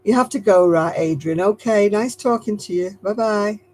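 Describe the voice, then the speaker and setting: A man's voice: high voice, normal speed, a little mellow. A man hosting a casual crochet chat, musing tentatively with fillers. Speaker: host of a crochet chat stream.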